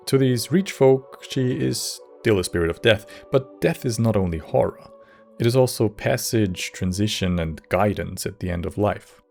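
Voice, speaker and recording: A man giving scripted narration for a game lore video in a measured, slightly wry way. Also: on the low side, gravelly, a little mellow.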